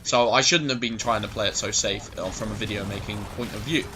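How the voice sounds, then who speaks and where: A man in his twenties giving rambling, sweary gameplay commentary, his voice going a bit hoarse. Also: lowish voice, brisk, background noise. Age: 20s. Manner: rambling, swears a lot, goes off on tangents. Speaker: gaming commentator.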